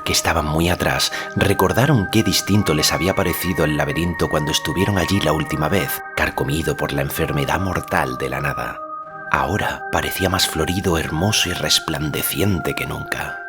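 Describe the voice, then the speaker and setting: An extremely low male voice reads literary fiction aloud. He tells the story in a measured, solemn way, with music clearly playing underneath. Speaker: audiobook narrator. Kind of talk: scripted literary narration of a fantasy novel. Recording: music behind the voice. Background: background music.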